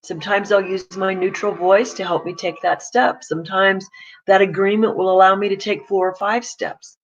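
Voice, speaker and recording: A woman's voice: mid-range voice, little pitch movement, normal speed, lo-fi sound. A woman coach giving a casual, plain-spoken morning talk to camera. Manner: chatty, pragmatic, plain-spoken.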